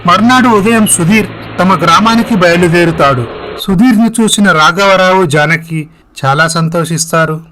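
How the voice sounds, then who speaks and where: A man's voice: high voice, normal speed, bright, forceful tone, a little hiss or hum, rough recording. A man reading scripted narration for a moral story.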